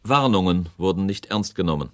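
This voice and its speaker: A grave inquiry speaker in a radio documentary drama. His low voice moves widely in pitch as he sets out formal, accusing findings in a declamatory way. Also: lively intonation, a little fast. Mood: grave, summing up. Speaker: presiding narrator.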